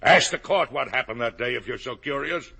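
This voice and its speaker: An actor playing a blustering, defensive middle-aged American father in a 1950 radio drama, with a rough, gravelly voice. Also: mid-range voice, lively intonation, a little fast, sharp tone, exaggerated voice. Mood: angry, defensive. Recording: old 1950 radio broadcast.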